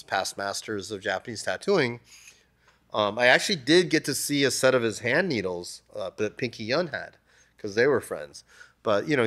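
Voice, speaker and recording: Laid-back guy rambling about his craft, lots of likes and you knows, desk mic in a shop. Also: fairly low pitch. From United States. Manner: relaxed, rambling, many "like" and "you know" fillers. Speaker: tattoo artist, podcast guest. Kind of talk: casual interview talk. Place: tattoo shop, indoors. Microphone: desk mic on stand.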